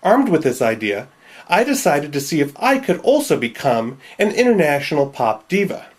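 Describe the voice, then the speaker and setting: A man's voice: fairly high pitch, sing-song, moderate pace. A man with a nasal voice narrating a tutorial video in a wry, self-deprecating, joking way. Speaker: video creator.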